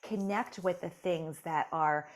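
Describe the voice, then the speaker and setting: A woman's voice: lowish voice, measured pace. A woman author speaking as a guest on an interview. She talks in an encouraging way, with many "you know"s. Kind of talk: conversational interview.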